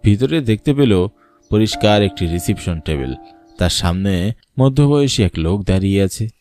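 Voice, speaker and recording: A man's voice: lowish voice, animated, normal speed, dark tone. A man narrating a scripted cartoon horror story. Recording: background music, night insects.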